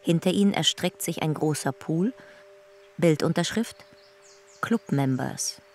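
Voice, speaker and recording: Measured, detached narrator. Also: female voice, low voice, a little mellow, a little hiss or hum. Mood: detached, factual. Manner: factual, measured. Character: detached narrator describing photographs. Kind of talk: scripted narration, documentary-like.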